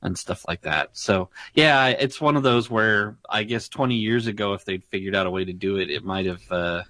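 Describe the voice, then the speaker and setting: A man's voice: on the low side, moderate pace, sharp tone, no room sound. A man hosting a casual tech news chat, rambling with fillers and laughing often. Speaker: podcast host.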